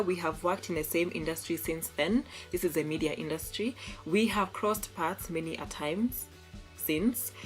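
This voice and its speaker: A young woman from Kenya talks through posts in a loose, chatty vlog, laughing easily and restarting her sentences as she hunts for words. Her voice sits low. A little music plays faintly in the background. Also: rough recording. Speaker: vlogger. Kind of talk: casual commentary reading tweets.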